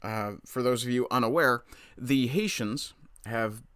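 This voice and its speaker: Male streamer host, blunt and profane, bright forceful tone, dry close mic. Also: medium pitch, a little slow, smooth, no echo. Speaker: livestream commentator. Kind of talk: casual political commentary, reading chat.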